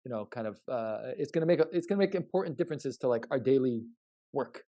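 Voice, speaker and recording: A man explaining his research in podcast conversation, halting and repetitive, often stressing points and checking in. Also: medium pitch, lively intonation, dark tone.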